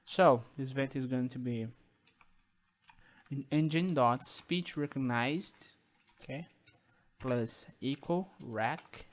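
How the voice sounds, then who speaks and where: A man with a Brazilian accent talks through a programming tutorial in English, which is not his first language. He speaks at a very slow, halting pace, full of fillers and restarts, at a middling pitch, with keyboard typing behind him. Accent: Brazilian Portuguese. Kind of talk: screen-recorded coding tutorial.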